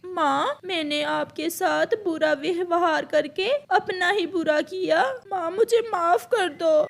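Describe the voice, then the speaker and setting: An anxious young mother crow from a cartoon, voiced by a woman, pleads in a raised, very high voice. Her manner is apologetic and her pace unhurried. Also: creaky, heavily acted. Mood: remorseful.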